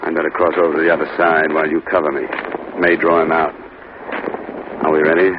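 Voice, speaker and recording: An actor playing a tough, world-weary American insurance investigator in 1950s radio detective style. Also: male voice, extremely low pitch, monotone, fast talker, noisy background. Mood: tense, brisk. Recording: dull old radio recording, music behind.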